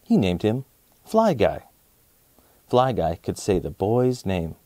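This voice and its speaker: A man's voice: fairly low pitch, wildly swooping pitch, slow, mellow, dry recording. A man in his thirties hosting a children's story channel and narrating a picture book. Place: small indoor set, dry sound.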